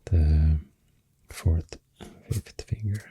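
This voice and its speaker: A deep-voiced man with a Swedish accent speaks in a very breathy, soft ASMR register. He rambles through explanations slowly and hesitantly, often slipping toward a whisper. The sound is close to the mic and dry. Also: dry recording. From Sweden. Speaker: ASMR creator. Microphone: close microphone. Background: pencil writing and clicking sounds.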